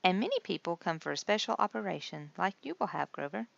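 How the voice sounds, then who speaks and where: A woman's voice: fairly low pitch, big pitch swings, quick pace, vocal fry, a bit bright, no room sound, muffled recording, heavily acted. A woman voicing a kindly hospital nurse who explains things patiently and reassuringly in a storybook. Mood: patient, informative. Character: kindly adult female hospital nurse guide.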